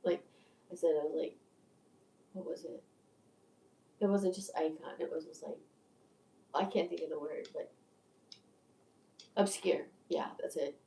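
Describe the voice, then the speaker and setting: Give me a low, dark-toned woman of sixty rambling and swearing freely, in a roomy, echoing space. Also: fairly even intonation, measured pace, creaky, long pauses, slight background noise. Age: 60s. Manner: stammering restarts, profane, candid. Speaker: video creator. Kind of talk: rambling livestream monologue. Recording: echoey room, distant from mic.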